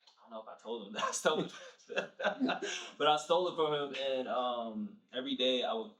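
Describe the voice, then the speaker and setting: A man's voice: mid-range voice, lively intonation, normal speed, fairly bright tone. A young man who grew up in New Jersey, talking casually and laughing easily as a guest in an interview. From Nigeria, raised in New Jersey. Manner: laid-back, slangy, laughs easily. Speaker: rapper guest. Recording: distant mic, roomy echo.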